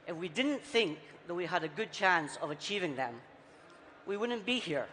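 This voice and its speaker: Man, Scottish accent, conference keynote, high-pitched, long hall reverb. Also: expressive, normal speed, sharp tone. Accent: Scottish, softened by years abroad. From Scotland. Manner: rhetorical, repeats phrases for emphasis, punchy short sentences. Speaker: conference speaker. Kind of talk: keynote talk on stage. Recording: reverberant hall, faint background noise.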